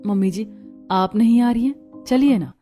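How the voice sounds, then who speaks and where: A woman's voice: on the high side, measured pace, dark tone, natural voice. A woman playing a dutiful, polite young daughter-in-law in a family story drama. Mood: polite pleading. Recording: music behind the voice.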